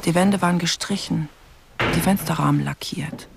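A man playing a quietly observant investigator who narrates his case in terse, pensive fragments in a radio crime drama. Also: fairly high pitch, normal speed, vocal fry, noisy background.

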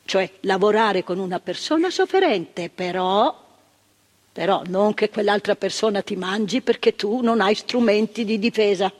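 A woman's voice: medium pitch, moderate pace, natural voice. An older actress playing a woman psychiatric worker who talks in a reflective, searching way, repeating words for weight. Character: earnest woman psychiatric worker recalling the past. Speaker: actress in a stage monologue. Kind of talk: theatrical monologue. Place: theatre stage. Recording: stage performance with long hall reverb.

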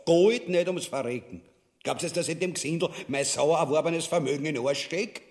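A man's voice: on the high side, a little fast, darkish tone, close to an everyday voice. An actor with an Austrian accent playing a crude, greedy, miserly new king in a farcical radio play, coarse and blustering. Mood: indignant, grudging.

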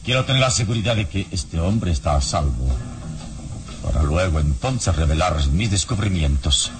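A man acting a calm heroic detective in an old Mexican radio serial, speaking in a measured, formal, commanding way. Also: low voice, over music. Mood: confident, commanding. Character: calm, deep-voiced heroic detective adventurer.

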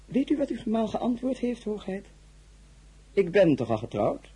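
An actor playing a suave, imperious tsar in a radio drama, speaking formally and in measured phrases with a husky voice. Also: male voice, high-pitched, sing-song, dark tone, exaggerated voice. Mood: amused. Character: suave, imperious Tsar of Russia, courtly and probing.

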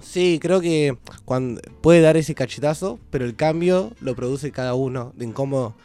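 A man's voice: medium pitch, normal speed, mellow, soft background music. A man with a Rioplatense Spanish accent chatting as a radio guest, hesitant and thinking aloud. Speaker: interview guest. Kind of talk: casual radio conversation.

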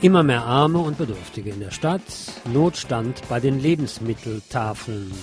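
A man's voice: mid-range voice, expressive, moderate pace, soft background music. A man hosting a radio news programme, reading reports and adding his own commentary. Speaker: radio host.

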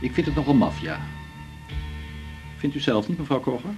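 An actor with a Netherlands Dutch accent playing a weary, dry-humoured family doctor in a radio drama, measured, dryly ironic and at times hesitant. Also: male voice, mid-range voice, very animated, over loud music. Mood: dryly patient.

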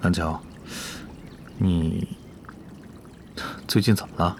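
A man acting a cool, authoritative guild president in a Chinese radio drama, measured and stern. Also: low voice, steady tone, background noise. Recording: background music under the voice.

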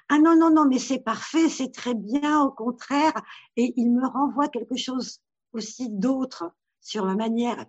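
A woman from Morocco, a therapist, answering interview questions in a thoughtful, halting way with many restarts. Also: medium pitch, slightly rough. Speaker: Gestalt therapist. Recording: dull lo-fi sound.

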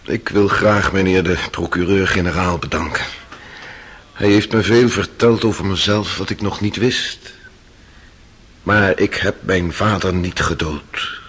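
Radio play defendant: low-pitched, rough-edged, anguished, earnest, pleading innocence. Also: male voice, a little slow, fairly bright tone, exaggerated voice. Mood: solemn, pleading. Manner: solemn, pleading, emphatic declarations. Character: anguished accused man pleading innocence before a jury. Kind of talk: Dutch radio play acting.

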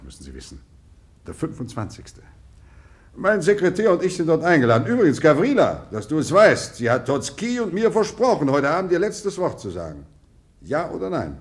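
An actor playing a self-important, excitable middle-aged Russian general in a 1950s radio drama, formal and abrupt in his speech. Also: medium pitch, moderate pace. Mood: agitated, brusque.

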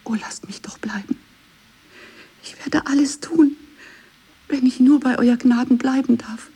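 A woman's voice: sits high, measured pace, mellow, breathy edge, heavily acted. A woman playing a fearful, submissive lady's maid pleading with her queen in a radio play, half whispering. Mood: frightened, pleading.

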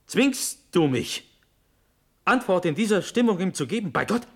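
An actor playing a proud, honour-bound young prince in a classical verse radio drama, with solemn, declamatory delivery that moves between hushed and forceful. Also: male voice, high voice, sing-song, normal speed, breathy edge, full character voice. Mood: proud, impassioned.

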